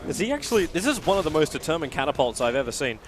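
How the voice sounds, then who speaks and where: A man with an Australian accent giving excitable play-by-play commentary on an esports match as caster. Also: racing, a crowd in the background. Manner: excitable, rising pitch in big moments.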